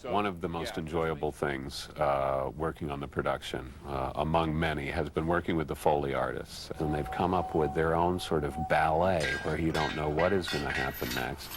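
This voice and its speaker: Very deep-voiced male director. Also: steady tone, normal speed, hardly any pauses, a crowd in the background. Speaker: production director. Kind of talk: casual interview talk.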